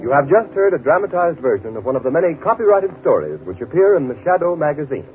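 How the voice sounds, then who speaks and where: A man's voice: fairly high pitch, sing-song, fast talker. A man announcing on 1930s radio in a formal, emphatic scripted sales style. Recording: tinny, noisy 1930s radio broadcast recording.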